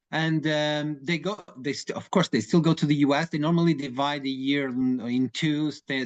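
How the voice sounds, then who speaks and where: A man's voice: mid-range voice, dull audio. A man in his forties from Portugal, Portuguese native, speaking English with a Portuguese accent as a lawyer in a webinar discussion, hesitant with many fillers and restarts. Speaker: lawyer advising clients.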